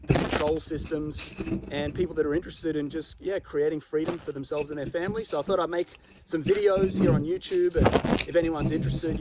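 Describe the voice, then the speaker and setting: A man with an Australian accent, speaking in a relaxed, chatty way full of "uh" and "like" as he walks around his rural farm for a vlog. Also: fairly high pitch. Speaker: vlogger. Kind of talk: casual walk-and-talk vlog. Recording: loud outdoor background noise.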